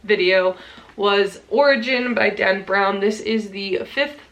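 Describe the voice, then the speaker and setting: A woman's voice: medium pitch, slow pace, fairly bright tone, low-quality recording. A young woman with a stuffy nose from a cold, chatting casually about her month's reading at home, with many ums and small laughs. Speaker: book vlogger. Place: home room full of plants.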